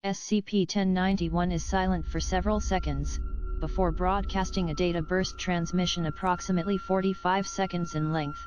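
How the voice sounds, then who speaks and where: A narrator reading a formal document in a measured, clinical way, as scripted narration. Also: mid-range voice, steady tone. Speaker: narrator reading a fiction wiki entry. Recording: dull lo-fi voice-over with music behind. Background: music.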